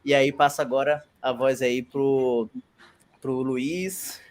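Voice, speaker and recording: A Brazilian man working as a data analyst, introducing himself in a lively, chatty way on an online class. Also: mid-range voice, slow, a little hiss or hum. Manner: lively, chatty, laughs easily.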